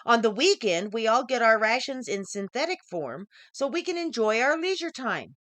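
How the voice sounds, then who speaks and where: Stern storybook mother, played by a woman reader, exasperated and lecturing, lively pitch swings, dry recording. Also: moderate pace, no echo. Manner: stern, explanatory. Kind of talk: acted dialogue in short story reading.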